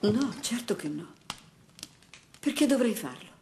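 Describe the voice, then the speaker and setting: Woman acting stern household matriarch, widely swinging pitch. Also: sing-song, unhurried, darkish tone, full character voice. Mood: gentle, firm. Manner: firm, probing. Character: stern, questioning adult women of a gloomy household. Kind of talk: dubbed film drama acting. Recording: clear background noise, clicks.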